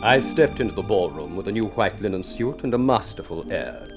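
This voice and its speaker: Guy acting a proud smooth-talking english gent, deep-ish, very theatrical, old radio show. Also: lively intonation, lightly acted. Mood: suave, grand. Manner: grand, deliberate, theatrical delivery. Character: ugly, masterful, silver-tongued Englishman, suave and proud. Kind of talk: 1950s radio drama acting and narration. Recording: old radio recording with music.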